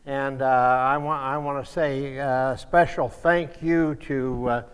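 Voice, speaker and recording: Man, church speaker. Informal, halting, folksy remarks, unhurried, recorded in a ringing hall. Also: medium pitch, fairly even intonation, smooth. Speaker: church speaker giving closing thanks. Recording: echoey hall with long reverb.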